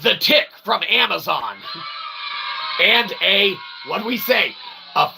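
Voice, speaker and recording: A man's voice: sits high, very animated, slow, gravelly, raised voice, noisy background. A man delivering an unscripted, profane opinion rant in an agitated, wordy, emphatic manner. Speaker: opinion vlogger.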